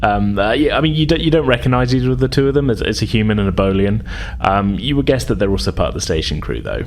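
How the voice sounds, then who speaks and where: Deep-voiced man narrating a tabletop game. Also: lively intonation, fast, a little hiss or hum. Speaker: game master.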